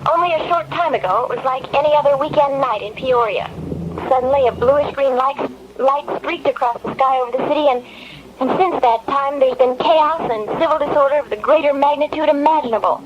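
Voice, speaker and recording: A woman's voice: high-pitched, brisk, close to an everyday voice. An actress playing a shaken woman reporter in a 1970s radio drama, giving a grave, dramatic mock live report from a disaster. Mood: horrified, urgent. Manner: dramatic, grave, ornate scripted delivery. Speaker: field reporter. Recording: muffled, noisy old radio broadcast tape.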